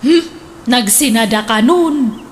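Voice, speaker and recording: A woman's voice: a little high, lively intonation, slow pace, a little hiss or hum. A woman playing a pious, meek mother in an Ilocano radio comedy drama, with an Ilocano accent. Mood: confiding.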